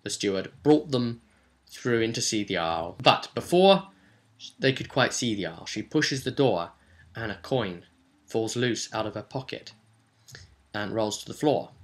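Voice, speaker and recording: A man casually recapping a tabletop roleplaying session as game master, telling it in an animated storytelling way. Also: on the low side. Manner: animated storytelling, slipping into quick character quotes.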